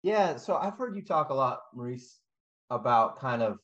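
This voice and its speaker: A man's voice: mid-range voice, expressive, moderate pace, fairly bright tone, lo-fi sound. A man co-hosting a podcast, asking long, rambling questions full of hedges. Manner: rambling, hedging questions with "kind of" and "you know". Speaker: podcast co-host. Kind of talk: podcast interview.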